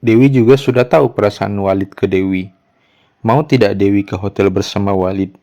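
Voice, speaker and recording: Slow, sweet-talking suitor played by a man. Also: fairly low pitch, mellow, lo-fi sound, pitched below the performer's own voice, natural voice. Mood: earnest pleading. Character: wooing male lover, sweet-talking, addressing himself by name.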